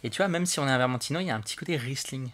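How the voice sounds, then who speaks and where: A young man speaks as a sommelier guest, walking through the steps of a wine tasting. He explains things eagerly and patiently, in a voice of medium pitch. He often rushes ahead in fast bursts. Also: a bit bright. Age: young adult. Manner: enthusiastic and didactic, often speeds up.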